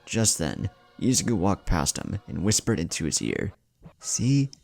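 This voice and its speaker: A man's voice: deep voice, sing-song, moderate pace, creaky. A man narrating a scripted fan fiction story.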